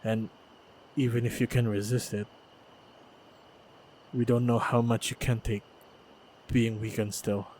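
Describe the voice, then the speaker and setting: A man playing a calm, caring monster hunter in a fantasy audio roleplay, speaking in a measured, gentle way. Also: medium pitch, slight background noise, close to an everyday voice. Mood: protective, reassuring. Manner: measured, deliberate, gentle storytelling delivery.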